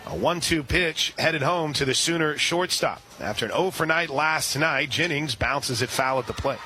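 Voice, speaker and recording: A man doing live radio play-by-play at a ballpark, with a fairly high voice. His delivery is chatty and excitable, full of quick asides between pitches. Stadium music plays loudly behind him. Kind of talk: live radio sports commentary. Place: outdoor ballpark press area.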